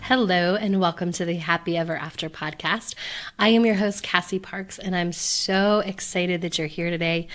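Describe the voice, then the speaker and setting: A woman hosting her own podcast, talking in a loose, upbeat, rambling way with lots of fillers and restarts. Her voice sits on the low side, pulled a bit deeper than usual by a cold. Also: fairly even intonation, moderate pace, soft background music. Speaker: podcast host. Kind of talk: casual solo podcast talk.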